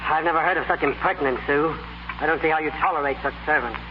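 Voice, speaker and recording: An actor playing a haughty, indignant upper-class house guest, formal and pompous, in 1930s radio style. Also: male voice, fairly high pitch, quick pace, natural voice. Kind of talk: 1930s radio comedy acting. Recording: noisy, muffled 1930s radio recording.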